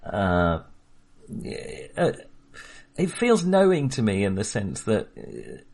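A man's voice: on the low side, huge pitch swings, slow pace, darkish tone. A man co-hosting a casual film podcast, talking with many fillers and restarts. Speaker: podcast co-host.

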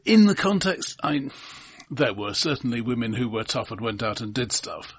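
A man co-hosting a film podcast, talking casually in a halting, analytical way. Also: mid-range voice, breathy edge.

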